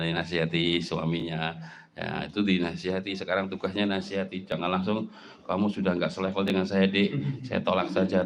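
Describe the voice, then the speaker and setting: A middle-aged Javanese man giving a lively sermon, with a Javanese accent, often jumping up in pitch for emphasis and asking rhetorical questions. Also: slight background noise. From Java, Indonesia. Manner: animated, swings pitch up for emphasis, rhetorical questions, mimics speech. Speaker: Islamic preacher.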